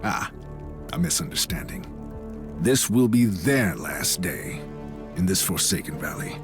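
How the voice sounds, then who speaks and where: A man's voice: sits low, big pitch swings, measured pace, heavily acted. An actor playing a hearty, boastful male military commissar in a video game. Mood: confident. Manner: hearty, boastful officer bluster. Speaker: lord commissar, military officer. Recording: music clearly behind.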